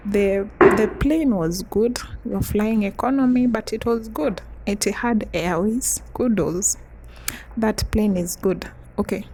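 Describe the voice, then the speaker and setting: A young woman from East Africa telling a chatty storytime vlog, often breaking into soft laughs. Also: medium pitch, unhurried, a little hiss or hum, low-quality recording. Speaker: vlogger.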